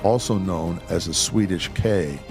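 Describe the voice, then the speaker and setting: A man's voice: sits low, measured pace, gravelly, music in the background. A man narrating scripted documentary-style background as the host of the programme.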